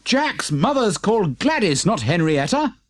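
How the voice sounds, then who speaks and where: A man's voice: high-pitched, big pitch swings, unhurried, voice pushed up. A man voicing a timid, polite, helpful postman with a small, hesitant voice in a children's audiobook. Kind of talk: audiobook character acting.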